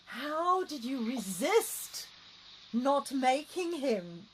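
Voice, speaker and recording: A woman's voice: high voice, sing-song, drawn-out words, a little hiss or hum, rough recording. A woman hosting an interview in English, which is not her first language. She is enthusiastic, laughs easily and often adds listening sounds. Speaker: interviewer, host. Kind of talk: casual interview.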